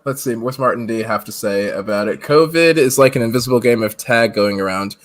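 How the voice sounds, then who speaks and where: A man's voice: mid-range voice, fairly quick. A man in his thirties co-hosting a casual live-stream podcast from home, laughing often and reading the chat aloud. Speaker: podcast co-host. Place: home room, on video call.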